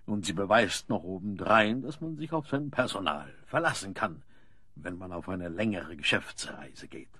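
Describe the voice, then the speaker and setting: A man playing a rich, jovial older father-in-law with a husky voice and a pompous, doting manner in a radio play. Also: low-pitched, animated, moderate pace, pushed and bright, no room sound, studio quality, full character voice. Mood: amused.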